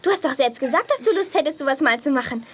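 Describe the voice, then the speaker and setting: Very high, excitable little-sister girl. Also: fast talker. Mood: persuading. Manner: exclamatory, eager, slangy. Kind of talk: children's audio drama acting.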